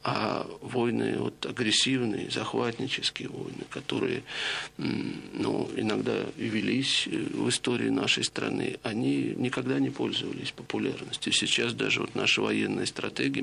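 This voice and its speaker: Gravelly low-voiced man lecturing. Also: pushed and bright, slight background noise. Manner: measured, explanatory, with frequent hesitations. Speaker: lecturer on radio. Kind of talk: reflective spoken lecture to listeners.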